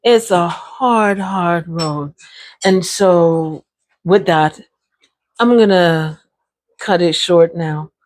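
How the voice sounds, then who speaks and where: A woman, hosting her own channel and telling viewers about her brain injury in a casual, chatty talk to the camera. She calls her viewers "y'all", laughs now and then, and her voice sounds a little sore and hoarse. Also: fairly low pitch, extremely slow, long pauses, darkish tone, no room sound.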